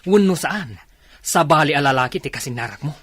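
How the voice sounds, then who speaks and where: A man's voice: a little high, expressive, a little fast, a bit bright, husky, no echo. A man with an Ilocano Filipino accent acting a suspicious, jealous husband in a radio drama.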